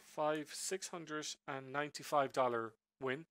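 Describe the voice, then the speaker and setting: Bright-voiced man rambling through a trade recap. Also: fairly high pitch, steady tone, unhurried, no echo. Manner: rambling, many ums and self-corrections. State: sick with a flu, voice recovering. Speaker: day trader reviewing his trades. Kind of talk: casual screen-share trade recap.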